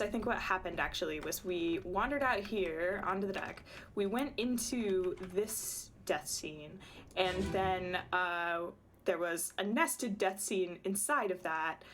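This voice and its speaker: Slightly hoarse woman thinking aloud. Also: mid-range voice. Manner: chatty, thinking aloud with many ums. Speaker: game streamer and researcher. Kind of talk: casual livestream commentary.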